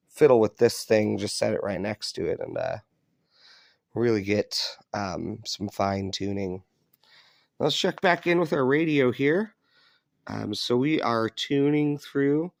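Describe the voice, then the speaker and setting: A man with a fairly low voice and a strong creak presents a hobby demonstration. He talks casually and off the cuff, in a low-key, hesitant way. The sound is dry, with very little room on it. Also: a little mellow, no echo. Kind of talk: casual unscripted product demonstration. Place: indoors in an upstairs room.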